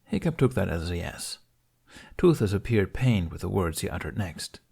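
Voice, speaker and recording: A man's voice: sits low, big pitch swings, moderate pace, creaky, darkish tone, husky. A man narrating a scripted fantasy audiobook in a dramatic storytelling style.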